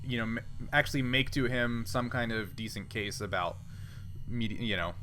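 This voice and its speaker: A man's voice: medium pitch, sharp tone. Man game mastering over background noise. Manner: halting, pausing to find words. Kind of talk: casual game mastering of a tabletop sci-fi roleplay.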